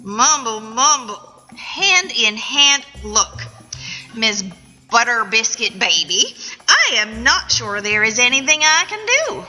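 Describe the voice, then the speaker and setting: A woman's voice: on the high side, very animated, slow, sharp tone, heavily acted. An actress playing a folksy, skeptical young rookie policewoman with a Southern US accent in a comic radio play. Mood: dismissive. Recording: echoey event hall, music behind.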